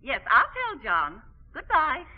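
A woman's voice: high voice, huge pitch swings, slow, heavily acted. A woman playing a polite, flustered middle-aged mother on the telephone in a 1940s radio drama. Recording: thin phone-like 1940s radio recording.

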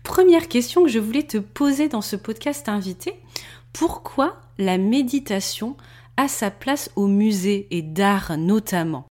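A woman hosting a podcast, upbeat and eager as she introduces the topic and asks her questions. Her voice has an ordinary middle pitch. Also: measured pace. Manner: lively, enthusiastic. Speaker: podcast host.

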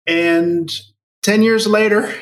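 An American man in his forties talks conversationally as an interview guest, telling his own story. His voice is on the higher side and sounds a little away from the microphone. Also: steady tone, slow pace. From Maryland, United States. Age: 40s. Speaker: interview guest, nonprofit project leader. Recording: distant from the mic.

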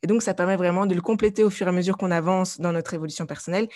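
Woman guest, a bit low, hesitant and filler-heavy. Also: steady tone, very fast, a little mellow. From Belgium. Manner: hesitant, many fillers and restarts. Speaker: guest, life coach and artist. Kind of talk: conversational interview.

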